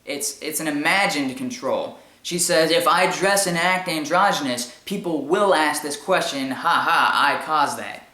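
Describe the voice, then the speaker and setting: High-pitched man with an echoey sound. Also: a little slow, hardly any pauses, pushed and bright. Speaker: commentary show host. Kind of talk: informal opinion commentary.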